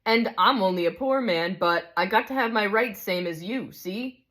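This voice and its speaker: A woman's voice: on the high side, animated, normal speed, a bit bright, pitched above the performer's own voice. A woman voicing a big, self-righteous male ghost who complains in coarse working-class speech. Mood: aggrieved.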